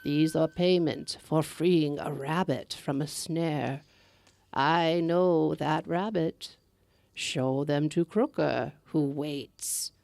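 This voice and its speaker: A woman playing a mysterious, kindly old woman in a folktale, speaking deliberately and with foreboding. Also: sits low, creaky, a little mellow, no room sound, clean studio sound, voice pushed down, full character voice. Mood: grave, cautioning.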